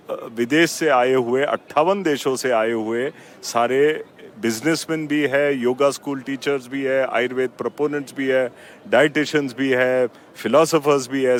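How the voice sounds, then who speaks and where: Mid-pitched Indian man, formal and earnest. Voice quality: switches between Hindi and English. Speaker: foundation trustee and company managing director, interview guest. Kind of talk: promotional interview remarks. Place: busy expo grounds.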